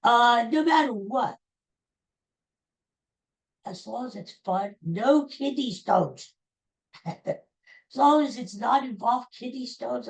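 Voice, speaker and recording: Gravelly-voiced chatty woman in her sixties. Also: medium pitch, rough recording. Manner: rambling, chatty, addresses listeners directly. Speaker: podcast host. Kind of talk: casual solo podcast monologue.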